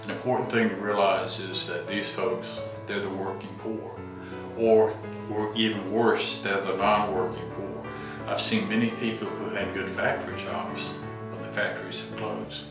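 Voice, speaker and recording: A man's voice: lowish voice, little pitch movement, moderate pace. A man in his sixties speaking reflectively in an interview as a health professional. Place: office indoors. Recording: very echoey, distant, loud music underneath. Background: guitar music.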